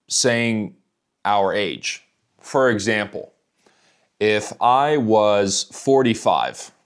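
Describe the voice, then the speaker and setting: A male English teacher talks at a strikingly unhurried pace, spacing out each phrase for learners. His voice sits fairly low, and he often repeats words and phrases so listeners can catch them. The delivery is calm and patient throughout. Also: smooth. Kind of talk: slow English listening lesson.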